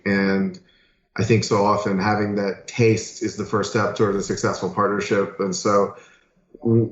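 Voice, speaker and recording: A man from Florida, a screenwriter guest on a podcast, talking relaxed with dry humor and many fillers. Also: on the low side. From Fort Lauderdale, Florida. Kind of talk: casual interview chat. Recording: echoey remote call, dull.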